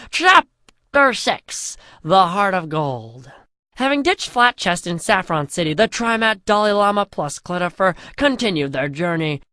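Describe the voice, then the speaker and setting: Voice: medium pitch, a bit bright, no echo, studio quality. A storyteller reading a scripted comic fantasy parody in a mock-epic way, drawing out the chapter headings.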